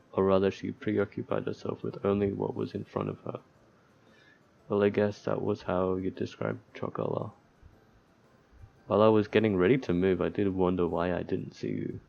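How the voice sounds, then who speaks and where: Male let's player, heavy vocal fry, casual read-aloud with offhand joking commentary, muffled low-fidelity recording. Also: normal speed, darkish tone. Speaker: let's player reading a visual novel aloud. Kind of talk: casual gaming commentary mixed with reading game text aloud.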